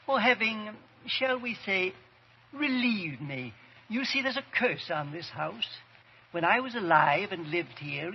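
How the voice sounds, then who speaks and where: An actor playing the smug ghost of a murderer with mannered, mocking politeness in old-time radio horror. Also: male voice, high-pitched, creaky, exaggerated voice. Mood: smug, gloating. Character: smug, gloating male ghost of a wife-killer. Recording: dull, noisy vintage radio recording.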